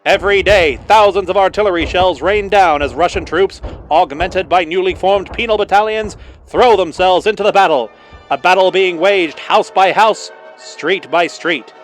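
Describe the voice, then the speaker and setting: High-pitched 1940s wartime newsreel announcer, played by a man. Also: normal speed, natural voice. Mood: rousing, defiant. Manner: dramatic, declamatory. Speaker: newsreel narrator. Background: music.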